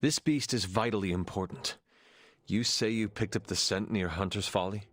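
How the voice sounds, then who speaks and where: An actor playing a solemn, earnest resurrected demon hunter in a video game, speaking formally and with measured phrasing. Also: male voice, lowish voice, a bit bright. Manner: formal, measured, avoids contractions. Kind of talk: video game cutscene acting.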